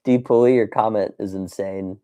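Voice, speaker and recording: A man's voice: fairly low pitch, steady tone, moderate pace, warm tone, clear, resonant tone. A man hosting a casual livestream, chatting playfully with viewers, reading messages aloud and wandering into exclamations and asides. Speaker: livestream host of a toy dinosaur collecting channel.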